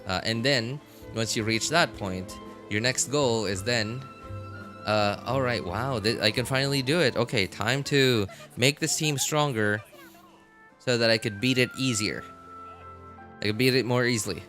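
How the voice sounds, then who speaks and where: A man chatting casually as he streams a game, rambling and halting as he thinks aloud. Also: medium pitch, a bit bright, soft background music. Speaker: live streamer. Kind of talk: casual gaming stream chat.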